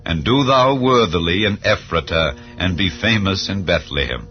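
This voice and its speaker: Narrator: very deep man, solemn, measured scripture reading, slightly dull audio, soft music under. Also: lively intonation. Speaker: Bible reader. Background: soft background music.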